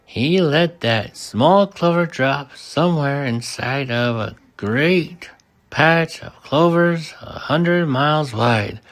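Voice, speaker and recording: An amateur reader narrating a children's story in an animated way, halting and sometimes stumbling over words. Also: medium pitch.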